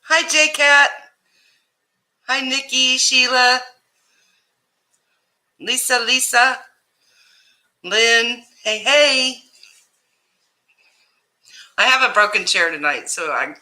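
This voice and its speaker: A woman hosts a relaxed livestream chat and greets her viewers one by one. Her loud voice carries, with a very bright, forceful edge. She talks at an easy, unhurried pace. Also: on the high side. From Ohio, USA. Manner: loud voice that carries, chatty and laughing. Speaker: livestream host.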